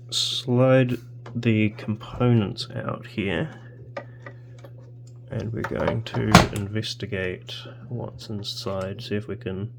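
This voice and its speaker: A man talks very slowly in a gravelly voice, narrating hands-on tinkering. He pauses and thinks aloud as he goes. A clear hum and noise sit behind him. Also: fairly low pitch. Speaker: host of electronics tinkering videos. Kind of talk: casual hands-on workbench narration.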